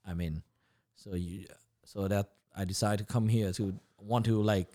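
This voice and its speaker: A middle-aged man speaks English with a Thai accent and simplified grammar. His voice is on the low side, and he tells his own story in a casual, conversational way as a podcast guest. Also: steady tone, a little slow, dark tone. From Thailand. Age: about 50. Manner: simplified non-native grammar. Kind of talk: casual autobiographical chat.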